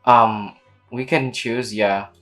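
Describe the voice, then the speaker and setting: A woman's voice: rumbling low, steady tone, slow pace, a bit bright. A woman streaming a game with casual, slangy, teasing commentary, reading dialogue aloud. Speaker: gameplay streamer. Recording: game music behind the voice.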